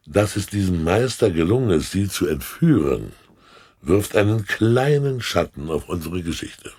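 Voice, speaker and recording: Very deep, pompous husky statesman. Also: very animated, measured pace, darkish tone, heavily acted. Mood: self-satisfied. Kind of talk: German radio play acting.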